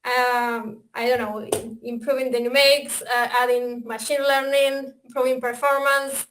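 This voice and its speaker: A woman's voice: fairly high pitch, flat delivery, measured pace, fairly bright tone, slight background noise, low-quality recording. A woman with an Argentinian accent gives a webinar presentation, speaking hesitantly with many fillers and restarts. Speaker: software developer presenting. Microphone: video call.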